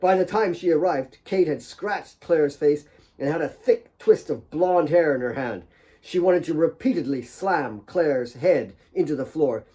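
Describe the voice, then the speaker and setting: A man's voice: on the high side, a little slow, dark tone. A man reading his own fiction as a dramatic audiobook narrator.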